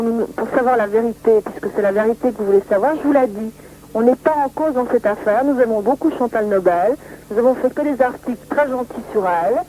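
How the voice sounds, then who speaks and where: A woman's voice: a little high, dark tone. A woman journalist speaking on the phone in an earnest, insistent manner, often repeating herself. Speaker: magazine journalist. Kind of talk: phone conversation. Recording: noisy phone line recording.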